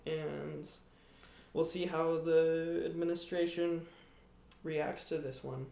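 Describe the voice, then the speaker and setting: A young man co-hosting a podcast, talking through the news in a relaxed, laid-back way. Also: a little high, takes it slowly, creaky. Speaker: podcast co-host. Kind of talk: casual news chat. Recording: echoey room.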